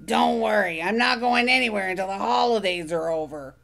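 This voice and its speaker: A woman's voice: mid-range voice, moderate pace, creaky, a bit bright. A woman voicing a grumpy male monster who lives in a trash can, grumbling sourly in a storybook reading. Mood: grouchy. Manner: grumbling, sour.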